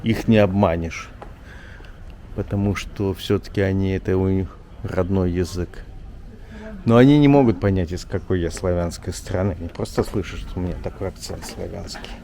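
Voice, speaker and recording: Chatty low-voiced man strolling outdoors. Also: normal speed, gravelly, warm tone, slight background noise. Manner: chatty, rambling, often says "вот". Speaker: journalist vlogger. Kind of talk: casual walking vlog chat. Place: outdoors in an old town street.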